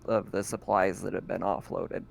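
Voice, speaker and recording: Gravelly man running a tabletop game. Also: sits low, flat delivery. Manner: halting, many fillers. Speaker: game master.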